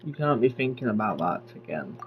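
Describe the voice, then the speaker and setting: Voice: mid-range voice, sing-song, fairly quick. A game streamer giving casual, flippant commentary on a lo-fi mic with music behind. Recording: dull lo-fi mic, faint music.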